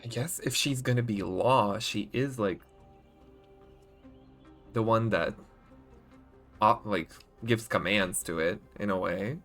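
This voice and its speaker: A man's voice: fairly low pitch, takes it slowly, long pauses, fairly bright tone. Man casually commentating over game music. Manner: offhand, musing aloud. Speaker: game streamer.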